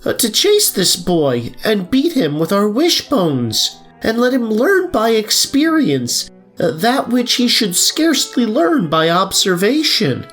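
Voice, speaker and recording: A woman's voice: mid-range voice, sing-song, slow pace, husky, faint music behind, full character voice. A woman playing a prim, fair-minded turkey ghost with a hesitant, wordy, formal manner in an audio drama. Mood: judicial. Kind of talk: audio drama acting of a children's story.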